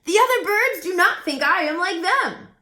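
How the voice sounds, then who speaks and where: A woman voicing a quirky, chatty male crow in a children's storybook, raspy and gushing with admiration. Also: very high-pitched, animated, moderate pace, sharp tone, reverberant, pitched above the performer's own voice, full character voice. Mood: chatty, proud.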